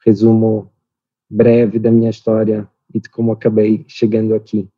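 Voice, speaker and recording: A man in his thirties from Portugal with a European Portuguese accent, speaking as a podcast guest in a measured way with small restarts. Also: lowish voice, monotone, no room sound, highs cut off. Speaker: podcast guest, company cofounder. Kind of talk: relaxed business podcast conversation.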